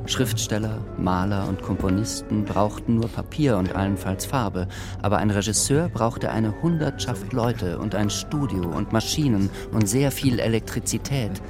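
Low-voiced reflective male storyteller over music. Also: moderate pace, few pauses. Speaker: narrator. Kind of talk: scripted literary narration in a radio drama.

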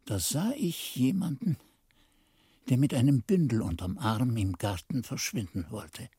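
Earnest monastery narrator, lively intonation, soft husky tone, dry sound, radio play storytelling. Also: male voice, mid-range voice, measured pace, no room sound, exaggerated voice. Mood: earnest, recounting. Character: monk's companion recounting events as earnest narrator. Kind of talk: scripted radio drama narration.

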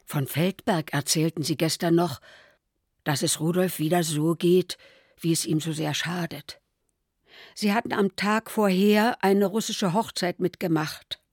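A woman's voice: fairly low pitch, measured pace, no room sound. Worried old mother, diary reading, dry close sound. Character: elderly mother, 77, anxious diarist.

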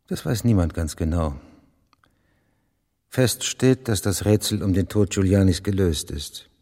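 Guarded smug official, deep husky voice, measured, condescending, radio drama, long reverb. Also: male voice, expressive, mellow. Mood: smugly evasive. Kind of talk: German radio drama acting.

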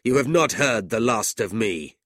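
A man's voice: lowish voice, animated, normal speed, a bit bright, dry recording, studio quality, voice pushed up. A man voicing a scornful, hard-hearted old moneylender in a storybook reading. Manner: scornful and proud.

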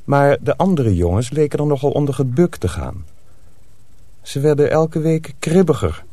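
A man's voice: fairly high pitch, big pitch swings, moderate pace, mellow, dry recording. A man narrating a wintry folk tale as scripted radio drama storytelling.

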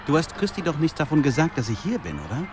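A man's voice: a little high, wildly swooping pitch, fast talker, over music. An actor playing a sheepish, flustered philandering man on the phone in a radio drama. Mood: sheepish, confiding.

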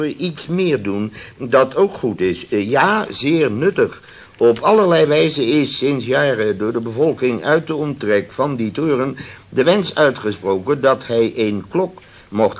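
A man's voice: mid-range voice, fairly bright tone, full character voice. A man acting in a radio play as a grumpy, domineering shipowner who is blustering and curt, outwardly polite when he must be.

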